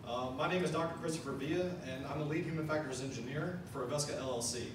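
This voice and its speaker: A man working as an engineer, giving an explanatory pitch with rhetorical questions to a meeting. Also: fast talker. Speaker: human factors engineer. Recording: echoey room, mic far off, noisy.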